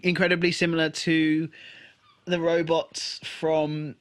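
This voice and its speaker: A man's voice: fairly high pitch, level tone, takes it slowly, fairly bright tone. A man co-hosting a podcast in casual chat about a TV episode, agreeably chiming in. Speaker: podcast co-host.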